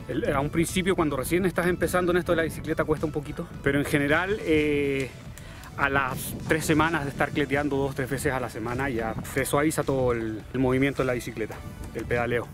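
A man's voice: medium pitch, steady tone, brisk. A man, a local cyclist, talking casually in an outdoor interview. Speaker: interviewed local mountain biker. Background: music.

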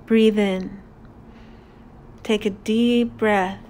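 Very slow-paced woman guiding a meditation. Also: mid-range voice, a little hiss or hum. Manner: calm, deliberate, soothing instruction with long pauses. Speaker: meditation guide. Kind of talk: guided meditation narration.

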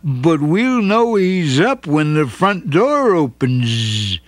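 A kindly papa bee from a storybook speaks slowly and steadily in a somewhat high, lilting voice, as a man puts him on. Each word comes out deliberate and even. The sound is dry and close. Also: no echo, faint music behind. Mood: calm. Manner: slow, deliberate delivery.

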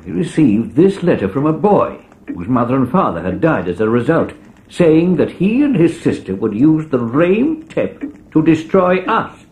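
An actor playing a grave, gruff man in a dramatised audio storybook on a 1980s cassette. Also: fairly high pitch, very animated, moderate pace, darkish tone. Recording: faintly noisy 1980s cassette recording.

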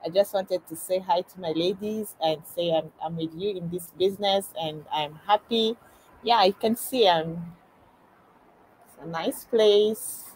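Halting woman on a phone call. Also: on the low side, darkish tone, slight background noise. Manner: simple, halting phrasing. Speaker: team member on a video call. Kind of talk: casual chat. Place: outdoors on a balcony. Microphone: phone video call.

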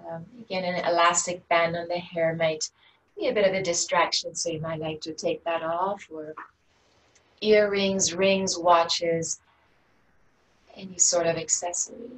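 A woman teaching a guided meditation in a gentle, unhurried, inviting way. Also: fairly low pitch, fairly even intonation. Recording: distant mic with faint background noise.

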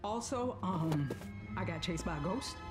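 An actress playing a brash, streetwise New York transit worker in a game, talking in a sassy, emphatic, colloquial way. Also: female voice, on the low side, animated, unhurried, loud music behind the voice. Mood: flustered. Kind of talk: animated game cutscene acting.

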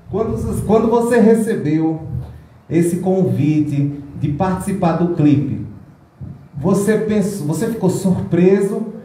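A man's voice: sits high, dark tone. Chatty man in an echoey room. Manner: chatty, rambling, with restarts and playful asides. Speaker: presenter of a music video. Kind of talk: casual public talk. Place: small indoor meeting room with projector screen.

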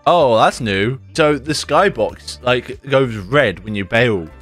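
A young man talks through a video game from his bedroom desk mic, loose and rambling, peppering his talk with "like" and "dude". His voice sits a little low and rough, left over from a recent sore throat. Faint game music plays underneath. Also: expressive, slow, a bit bright. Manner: chatty, rambling, says "like" and "dude" a lot. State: deeper voice after a sore throat. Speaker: gaming YouTuber. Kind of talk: casual gameplay commentary. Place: bedroom at home, indoors.

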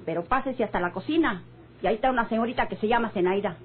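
Muffled radio serial: actress as curt, sassy young rural Mexican single woman, rather high and husky. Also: racing, slight background noise, natural voice. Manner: tart, curt. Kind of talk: old-time radio drama acting. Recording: muffled old radio recording.

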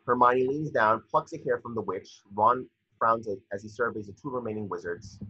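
A man's voice: medium pitch, moderate pace, sharp tone, slightly rough. A man reading scripted stage directions as narrator of an amateur table read. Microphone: video call. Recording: muffled video-call audio.

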